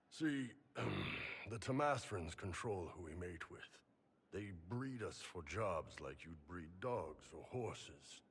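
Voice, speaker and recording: A man playing a big, horned, battle-loving warrior in a video game, plain-spoken and gruff, relishing his words. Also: deep voice, fairly bright tone, exaggerated voice. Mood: musing.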